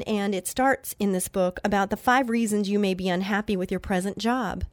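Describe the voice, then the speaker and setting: A woman's voice: a little high, no echo. Nasal-sounding woman hosting faith radio. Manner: chatty, earnest, with many fillers and restarts. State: nasal from allergies. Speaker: radio show host.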